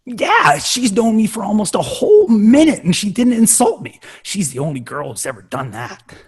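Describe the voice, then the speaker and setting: A man acts out a nerdy sitcom boy, smitten and naively eager. The voice is very husky and breathy, with lively leaps in pitch. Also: moderate pace, exaggerated voice. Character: lovestruck, earnest nerdy young boy. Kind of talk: sitcom table read.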